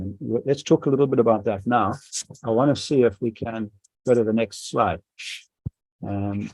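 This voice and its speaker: A man from South Africa with a South African accent, leading an online workshop, speaking haltingly with many pauses and restarts. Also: on the low side, darkish tone, dry recording, poor audio. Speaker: school administrator leading a workshop. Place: home or office on a video call. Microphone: video call microphone.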